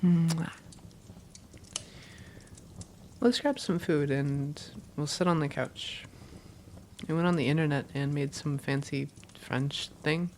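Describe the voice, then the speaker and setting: A woman's voice: low voice, measured pace, darkish tone, a little hiss or hum. A woman playing an affectionate, teasing young vampire girlfriend in an intimate roleplay audio, playful and tender with her partner.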